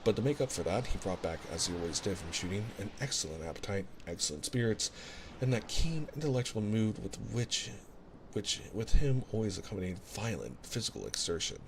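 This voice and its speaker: A man with a low voice narrates a classic novel as bedtime ASMR. His delivery is soothing and measured but swings widely in pitch, with a fry-edged grain. He is close on a binaural mic, with clear ocean noise underneath. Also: animated. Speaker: audiobook-style reader, ASMR host. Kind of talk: ASMR bedtime story reading. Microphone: close binaural ASMR microphone. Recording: noisy ambience of recorded ocean waves. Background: ocean sounds.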